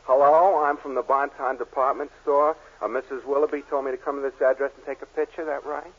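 Actor as wry photographer on tinny radio. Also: male voice, medium pitch, flat delivery, a little fast, natural voice. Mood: businesslike. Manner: wisecracking, slangy. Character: wry, plain-spoken working-man store photographer. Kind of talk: 1940s radio sitcom acting. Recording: tinny, hissy 1940s radio recording.

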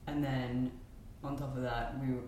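Young woman from Spain, very low voice, chatty podcast guest full of ums, booming echoey room. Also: little pitch movement, normal speed, mellow. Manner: chatty, frequent ums, laughs often. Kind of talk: casual conversational chat. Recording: very echoey room with faint noise.

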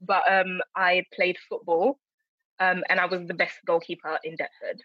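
A woman's voice: fairly low pitch, little pitch movement, moderate pace, no room sound. A woman introduces herself on a podcast, hesitant and full of fillers. The sound is dry and slightly dull. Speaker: guest, fashion designer. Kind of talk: casual podcast chat.

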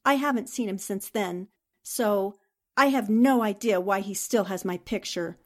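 A woman playing a defiant, sharp-witted young newspaperwoman held captive, speaking firmly and dramatically in old-time radio drama style. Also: mid-range voice, a little slow, natural voice. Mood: guarded, defiant.

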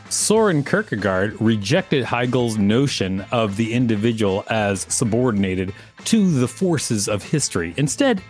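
A man co-hosts a reading podcast, his mid-range voice rising and falling freely as he works through the article. He slips in playful little asides between passages. Faint music sits quietly beneath him. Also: mid-range voice. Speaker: podcast co-host. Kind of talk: reading an article aloud with banter.

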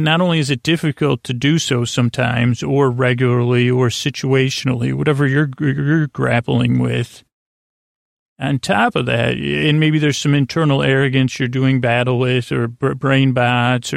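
A man with a creaky voice, rambling through a bedtime podcast monologue in a halting, stammering way, full of false starts. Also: medium pitch, no room sound, studio quality. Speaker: podcast host.

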